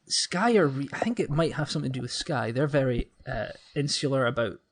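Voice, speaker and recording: A man's voice: medium pitch, animated, a little fast. A man chatting casually as a podcast panelist, often repeating words as he forms his point.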